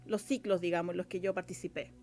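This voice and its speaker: A woman's voice: fairly low pitch, steady tone, brisk, warm tone, soft background music. A Chilean woman answering as an interview guest, explaining casually in hesitant, circling phrases. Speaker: radio drama scriptwriter, interview guest.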